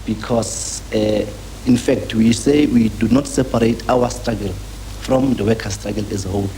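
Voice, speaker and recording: Young South African man, low soft tone, noisy. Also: steady tone, moderate pace, vocal fry. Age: young adult. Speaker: student activist interviewee. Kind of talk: documentary interview. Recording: noisy 1980s newsreel location sound.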